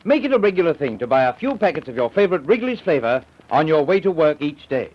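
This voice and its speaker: A man reads a vintage radio commercial with lively, swooping intonation. His voice is fairly high, and the old recording sounds dull and lo-fi. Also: fast talker. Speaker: commercial announcer. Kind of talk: old-time radio advertisement.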